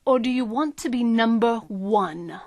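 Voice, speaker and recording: An actress playing a brisk, persuasive marketing consultant with an emphatic, salesy manner in an audio drama. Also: female voice, fairly high pitch, creaky, darkish tone.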